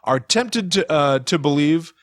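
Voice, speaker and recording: A man co-hosting a podcast, explaining things in a casual, halting way as he thinks aloud. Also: a little high, animated, fairly bright tone, dry recording, clean studio sound. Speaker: podcast co-host.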